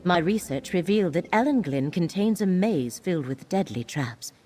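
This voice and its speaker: A woman playing a mellow, grave fantasy scholar. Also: fairly low pitch, expressive, slight background noise, close to an everyday voice. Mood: solemn, measured. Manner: measured, formal, solemn. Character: grave, scholarly woman guide to ancient ruins. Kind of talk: fantasy video game dialogue.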